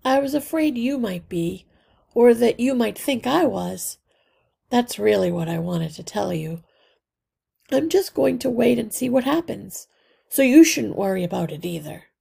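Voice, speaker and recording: A woman voicing a gentle, brave young male mouse in a storybook, speaking earnestly and reassuringly. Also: on the high side, expressive, measured pace, gravelly, breathy edge, voice pushed up, exaggerated voice.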